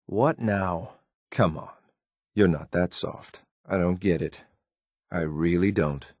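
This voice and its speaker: An actor playing a loyal, coldly disdainful synth soldier in a video game, speaking in a clipped, military, deferential but blunt way. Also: male voice, low-pitched, slow, a little mellow, dry recording, clean studio sound. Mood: disapproving. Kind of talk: video game companion voice lines.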